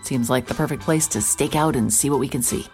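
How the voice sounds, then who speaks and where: Casting: hard-boiled female PI, very deep voice, deadpan brooding noir narration, music underneath. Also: a little fast. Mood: wry, determined. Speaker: narrator and lead character. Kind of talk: first-person noir scripted narration.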